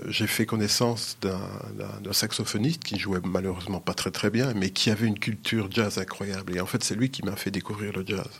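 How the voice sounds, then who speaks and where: Gravelly older man. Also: lowish voice. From Sicily. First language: Sicilian. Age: 60s. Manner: hesitant, many restarts and fillers. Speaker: musician guest. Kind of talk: casual interview talk.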